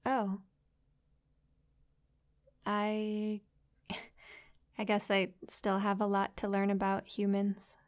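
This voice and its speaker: Woman acting a sweet, clear-voiced, unhurried succubus. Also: mid-range voice, monotone. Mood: curious, shy. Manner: soft, playful, sweetly inquisitive. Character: friendly, curious young female succubus new to human life. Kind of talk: intimate roleplay audio drama, addressed to the listener.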